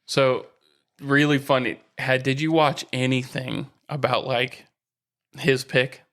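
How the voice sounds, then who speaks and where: A man's voice: medium pitch, little pitch movement, takes it slowly, fairly bright tone. An American man co-hosting a sports podcast in casual, bantering conversation.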